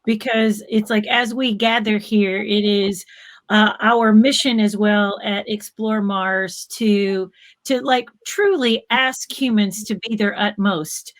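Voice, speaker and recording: A woman's voice: mid-range voice, fairly even intonation, takes it slowly. A woman moderating a panel discussion, upbeat and encouraging as she draws the guests out.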